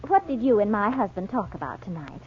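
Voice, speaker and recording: An actress playing an eager, chatty amateur sleuth wife in a 1940s radio drama, persistent and gently teasing. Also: female voice, mid-range voice, very animated, fairly quick, slight background noise, lightly acted. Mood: polite, probing. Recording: muffled old 1940s radio recording, music.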